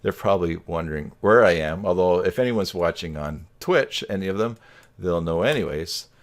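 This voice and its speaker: A man's voice: low-pitched, animated, moderate pace. A man hosting a live stream over a video call, chatting casually with a joking, teasing manner.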